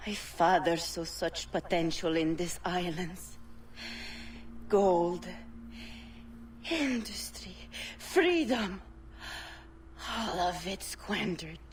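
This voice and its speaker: A bitter noblewoman villain speaks her last, extremely slowly and haltingly. Her mid-pitched voice drips disdain in a video game cutscene, with faint noise behind. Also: far from the mic. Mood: bitter, dying. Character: haughty, dying colonial noblewoman villain, embittered.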